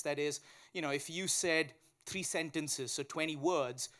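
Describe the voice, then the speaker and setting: Male, Indian accent, slightly high voice, tech executive, conference interview, step-by-step explanations with examples. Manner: explains step by step with examples, often tags "right?". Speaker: tech company chief executive, conference guest speaker. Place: live on stage at a conference.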